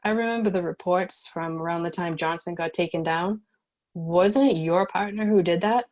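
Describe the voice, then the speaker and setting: Woman acting female ex-bounty cop, lowish voice, guarded, cautious, modest, tough leader, matter-of-fact, screenplay drama. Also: moderate pace, a little mellow, natural voice. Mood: probing. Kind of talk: screenplay table read, dramatic dialogue.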